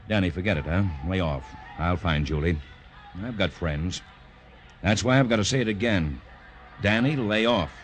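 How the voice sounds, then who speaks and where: A man playing an irritable, evasive criminal lawyer in a 1950s radio drama, curt and quietly threatening. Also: sits low, moderate pace, lightly acted. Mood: firm warning. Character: guarded, irritable big-shot male criminal lawyer.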